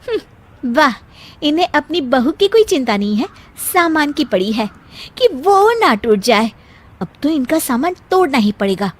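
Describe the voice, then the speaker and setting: A woman's voice: high-pitched, very animated, unhurried, voice pushed up. A woman voicing a put-upon, mischievous young daughter-in-law in a cartoon, grumbling and scheming. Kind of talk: Hindi cartoon dubbing.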